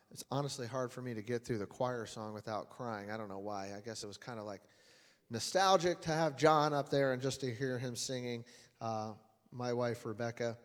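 A man leading a church service in prayer and announcements, earnest and plain-spoken with frequent uhs. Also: mid-range voice. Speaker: lay worship leader. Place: church sanctuary. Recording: long church-hall reverb with faint music.

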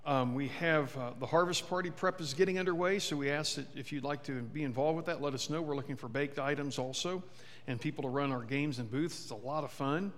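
A man's voice: a little high, brisk. A man reading church announcements to a congregation in a casual way, with fillers and asides to the room. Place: church sanctuary. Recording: reverberant church hall.

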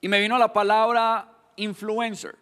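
A Colombian man in his thirties delivers an earnest sermon, his voice sitting high. He stumbles and repeats words, then pushes into pointed questions. The sound carries a long reverb from a church hall. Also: level tone, normal speed, a bit bright. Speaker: preacher.

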